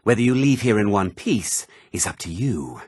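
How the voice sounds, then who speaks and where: An actor playing a menacing, bullying bandit with a rough, threatening manner in a video game. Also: male voice, on the low side, big pitch swings, moderate pace, darkish tone, no room sound, clean studio sound. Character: menacing, bullying male bandit, quick to back down. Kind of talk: video game character lines.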